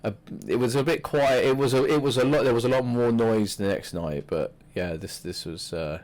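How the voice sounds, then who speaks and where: A young man co-hosting a podcast, chatting about wrestling in a halting way with many restarts. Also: mid-range voice, a little mellow. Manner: hesitant, many restarts and fillers. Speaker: podcast co-host. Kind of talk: casual wrestling chat.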